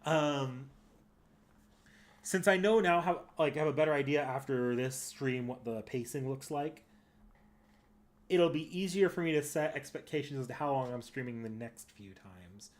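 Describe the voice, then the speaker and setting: Rambling male streamer thinking out loud. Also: mid-range voice, normal speed. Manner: rambling, hesitant, often self-correcting. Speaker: live game streamer. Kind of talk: casual unscripted stream chat, thinking aloud.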